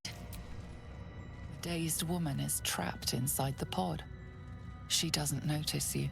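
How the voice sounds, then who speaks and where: A woman playing an ominous game narrator, telling a scripted story in the second person with a hushed, deliberate delivery. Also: low voice, steady tone, creaky, over music, exaggerated voice. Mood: ominous suspense.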